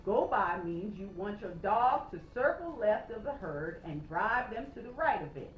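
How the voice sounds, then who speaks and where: A woman voicing a stern but caring old Scottish sheepdog trainer who gives measured, emphatic instruction. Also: medium pitch, sing-song. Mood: instructive, earnest. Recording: roomy, slightly noisy, dull home recording.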